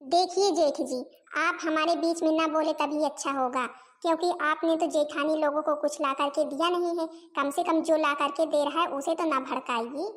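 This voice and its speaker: Woman playing very high-pitched aggrieved cartoon daughter-in-law. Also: fairly even intonation, normal speed, natural voice. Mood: defiant. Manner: complaining, pleading, addresses relatives and viewers directly. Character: weary, gossipy Indian daughters-in-law in a family cartoon. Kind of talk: Hindi family comedy cartoon voice acting.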